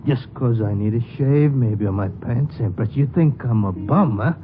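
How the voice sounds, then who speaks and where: An American actor in a 1950s radio drama playing an old, paranoid, half-crazed ex-gangster who rambles and pleads in rough slang. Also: male voice, mid-range voice, expressive, normal speed, phone-quality sound, music in the background, close to an everyday voice. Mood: indignant. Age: older. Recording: noisy, band-limited old 1950s radio recording.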